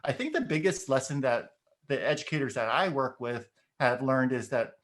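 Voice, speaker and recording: A man's voice: medium pitch, expressive, moderate pace, fairly bright tone. Thoughtful man, native Korean speaker. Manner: thoughtful, explains with examples, frequent "right?". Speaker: education podcast guest. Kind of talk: casual discussion.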